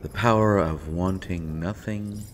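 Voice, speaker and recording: A man playing a sardonic imprisoned villain in an audio roleplay, taunting with theatrical, mocking, deliberate phrasing. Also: deep voice, noisy background. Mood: cold, menacing. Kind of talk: scripted audio roleplay acting.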